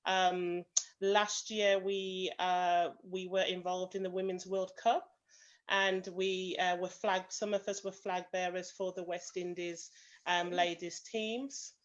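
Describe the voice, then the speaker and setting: A woman's voice: fairly low pitch, level tone, takes it slowly, dull audio. A woman with a British accent, a panel guest on a video call, giving a prepared talk with many "um" fillers and hedges like "kind of". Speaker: association president, panel guest.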